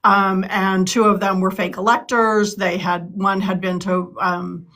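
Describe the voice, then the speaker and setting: A woman's voice: mid-range voice, little pitch movement, measured pace, fairly bright tone. A woman in her sixties from California, answering interview questions earnestly, with frequent ums and restarts. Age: 60s. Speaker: political candidate.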